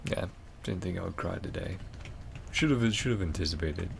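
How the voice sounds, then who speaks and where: A man giving quiet, casual reaction commentary as the host of a gaming video, in a low voice. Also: normal speed, vocal fry.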